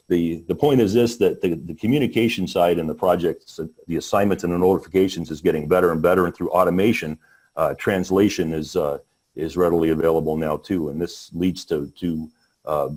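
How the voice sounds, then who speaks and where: A man presenting on a business webinar, talking in a halting way with many restarts and fillers. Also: low-pitched, a little mellow. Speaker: panel speaker.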